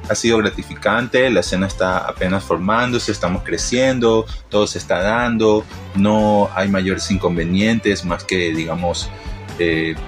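A man from Ecuador with a coastal Spanish accent and a very low voice speaks in an earnest, steady, defiant way. He is a DJ and producer sending a recorded greeting. Faint music plays behind him.

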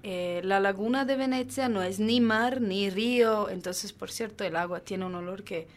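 A woman's voice: mid-range voice, moderate pace. A woman from Venice, native Italian speaker with an Italian accent, answering as a radio guest in a thoughtful way. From Venice, Italy. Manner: thoughtful, fillers, some laughter.

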